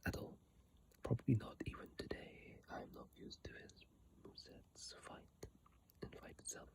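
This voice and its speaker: A person whispering in an ASMR style, breathy and airy, reading aloud with informal, halting, unhurried asides into a phone microphone up close. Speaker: ASMR content creator. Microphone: iPhone microphone, close up.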